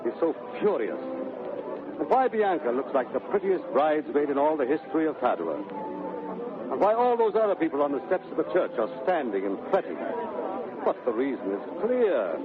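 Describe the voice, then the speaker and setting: A man narrating a scripted story in old radio manner, measured and wry, with dramatic pauses. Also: on the high side. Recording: narrow-band old radio sound, music behind.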